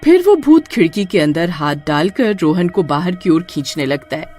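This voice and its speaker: A woman narrating a horror story in an expressive storytelling manner. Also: fairly low pitch, moderate pace, soft background music.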